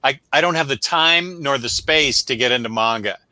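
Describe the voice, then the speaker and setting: A man's voice: mid-range voice, normal speed, bright, forceful tone, no room sound. A man hosting a comic book review show in casual livestream chat, rambling along in a self-deprecating way.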